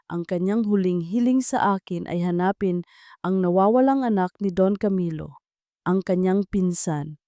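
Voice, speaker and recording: A woman's voice: medium pitch, dark tone, clear, resonant tone, no room sound. A woman with a Filipino accent reading scripted dramatic narration as a storyteller.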